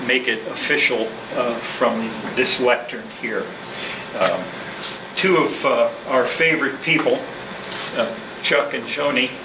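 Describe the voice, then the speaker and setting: A man giving a modest, deliberate and halting informal speech as a club president at a lectern, picked up from a distance in a noisy room. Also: mid-range voice, roomy sound. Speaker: newly elected club president.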